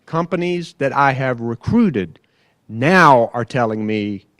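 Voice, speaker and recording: A man with a medium-pitched voice makes an emphatic public statement. The sound is dry, with little room echo. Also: lively intonation, slow pace, a bit bright.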